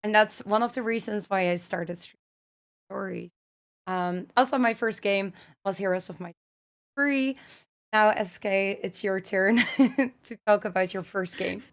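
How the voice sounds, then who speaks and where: A woman's voice: medium pitch, a little slow. A woman hosting a casual podcast chat, not a native English speaker, friendly and giggly with fillers. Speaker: podcast host.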